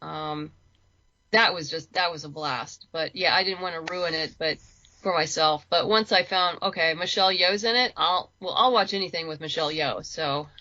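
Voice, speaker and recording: Bright-voiced woman chatting, slightly dull audio. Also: sits low, moderate pace. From lives in Los Angeles. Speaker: interview guest, writer. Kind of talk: casual conversational chat.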